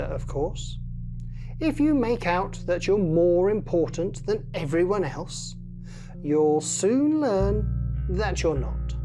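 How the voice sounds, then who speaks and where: A woman reading a children's story in a measured storytelling manner. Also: fairly low pitch, mellow. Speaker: story reader. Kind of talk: scripted children's story narration.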